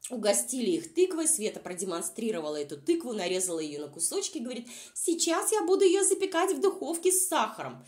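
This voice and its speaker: A woman's voice: a little high, sing-song, quick pace, hardly any pauses, a little mellow. A woman vlog reviewer who is unwell, giving informal, exasperated and sarcastic commentary. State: unwell, says voice is nearly gone. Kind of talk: informal mocking commentary over video.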